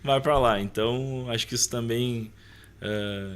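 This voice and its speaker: A man's voice: mid-range voice, fairly even intonation, measured pace. A man, reflectively telling personal recollections as a podcast guest. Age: 30s. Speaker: interview guest. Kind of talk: conversational podcast storytelling.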